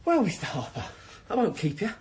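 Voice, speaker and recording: An actor playing a cocky, edgy criminal informant in a radio drama, mocking and full of swagger. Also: male voice, sits high, huge pitch swings, fairly quick, husky, exaggerated voice. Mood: bitter, mocking. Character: cocky, jittery criminal police informant, defiant. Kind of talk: 1990s radio drama acting.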